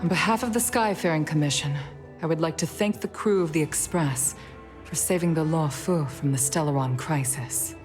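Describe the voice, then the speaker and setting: A woman playing a dignified, grieving middle-aged leader in a video game, speaking in a formal, measured and solemn way. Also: low voice, natural voice. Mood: formal gratitude. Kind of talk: scripted video game voice acting. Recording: game music under the voice. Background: game music.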